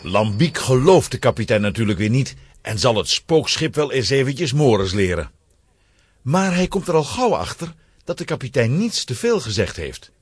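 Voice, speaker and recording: A man's voice: mid-range voice, big pitch swings, moderate pace, breathy edge, dry recording. A man narrating a children's audio play in a scripted storytelling style.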